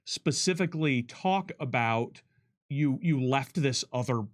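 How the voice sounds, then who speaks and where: A man working as a game developer, talking casually on a livestream panel and answering questions in an explanatory, halting way as he thinks aloud. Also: medium pitch, expressive.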